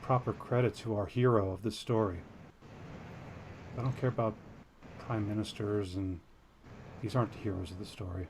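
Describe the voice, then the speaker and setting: A man's voice: lowish voice, steady tone, moderate pace, pauses often, background noise. A man co-hosting a podcast, reading a news story aloud and breaking off into jokey, self-deprecating tangents. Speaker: podcast co-host.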